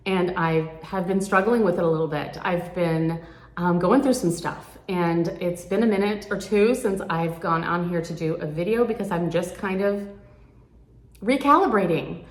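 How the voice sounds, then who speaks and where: A woman's voice: on the low side, normal speed. A woman of sixty talking candidly and earnestly to her viewers, reflecting at a rambling, thoughtful pace. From United States. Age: 60s. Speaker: vlogger. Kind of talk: candid reflective chat to camera. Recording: echoey room.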